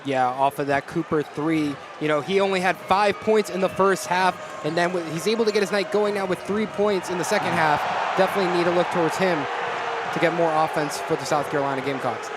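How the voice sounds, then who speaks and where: A man's voice: fairly high pitch, a little fast, background noise. A young man giving analytical basketball color commentary on the radio. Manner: analytical, starts answers with "yeah". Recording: radio call with loud arena crowd.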